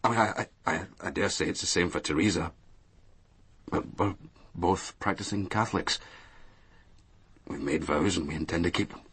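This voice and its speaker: A lonely, defensive middle-aged businessman in a naturalistic radio drama. His voice is very low and badly husked. He talks haltingly, circling back over his words with a weary air. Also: fairly bright tone, husky, exaggerated voice. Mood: hesitant, explaining. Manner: hesitant, halting, repeating himself.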